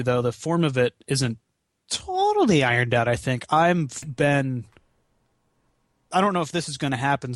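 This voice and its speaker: A man chatting casually as a podcast guest, rambling and halting, with some swearing. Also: medium pitch, no echo, studio quality. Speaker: podcast guest, charity gaming marathon organizer. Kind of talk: casual chat.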